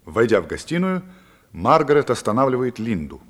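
A male narrator of a radio play. His mid-pitched voice moves with a wide, lively intonation as he delivers scripted, dramatic narration. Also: normal speed.

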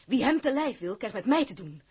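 An actress playing a bold, loyal captain's wife in a Dutch period drama, blunt and defiant in manner. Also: female voice, a little high, very animated, a little fast, a little mellow, husky, heavily acted. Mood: defiant, determined.

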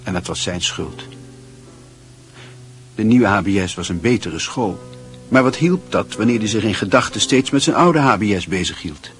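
A man's voice: fairly low pitch, lively intonation, normal speed, faint music behind, lightly acted. A man narrating a literary radio drama, reflecting on a schoolboy's story as a scripted storyteller. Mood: reflective.

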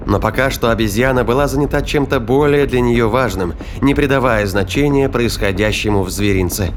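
A man's voice: medium pitch, a little fast, a little hiss or hum. A man narrating a sci-fi thriller audio drama in a scripted storytelling style. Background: music and sound effects.

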